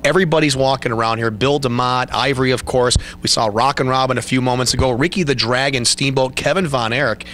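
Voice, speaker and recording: A man's voice: medium pitch, steady tone, quick pace, hardly any pauses, a bit bright, a little hiss or hum. A middle-aged man interviewing guests at a convention with a handheld mic in an indoor room, talking in an upbeat announcer manner. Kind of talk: event interview.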